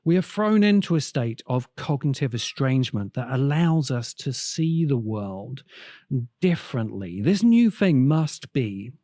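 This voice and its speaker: Slow, mellow man giving measured commentary. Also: medium pitch, big pitch swings, dry recording, studio quality. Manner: measured, deliberate, dramatic pauses mid-sentence. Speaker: podcast host, critic. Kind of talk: essay-like scripted commentary on science fiction.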